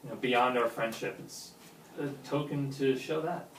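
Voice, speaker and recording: A man's voice: mid-range voice, normal speed, close to an everyday voice. Actor as lovesick insistent man, echoey distant recording. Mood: earnest pleading. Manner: earnest, insistent. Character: lovesick, pushy man pleading with his best friend. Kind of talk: naturalistic film dialogue at a table read. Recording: roomy, distant mic with faint noise.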